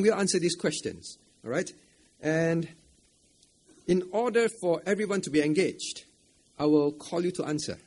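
A man's voice: a little high, a little mellow, breathy edge. A man preaching an interactive sermon at a church camp, asking the audience questions, repeating phrases and often adding "right?". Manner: chuckles often between points. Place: church camp meeting room.

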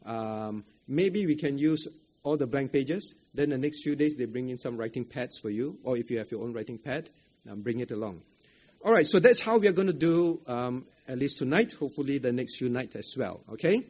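A man's voice: mid-range voice, expressive, normal speed, darkish tone, breathy edge. A man preaching an interactive sermon at a church camp, asking the audience questions, repeating phrases and often adding "right?". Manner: chuckles often between points. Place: church camp meeting room.

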